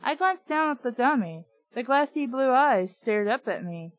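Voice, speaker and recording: High-voiced woman reading and critiquing a storybook. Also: animated, normal speed, dry recording, faint music behind. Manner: opinionated, nitpicking. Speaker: amateur reader and commentator of children's books.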